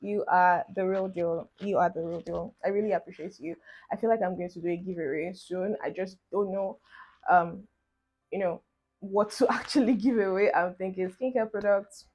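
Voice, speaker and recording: A woman's voice: mid-range voice, fairly even intonation, normal speed, darkish tone. A woman in her twenties with a Nigerian accent, chatting to camera in a casual get-ready-with-me vlog, encouraging her viewers while doing her makeup. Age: 20s. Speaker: beauty vlogger. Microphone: phone camera.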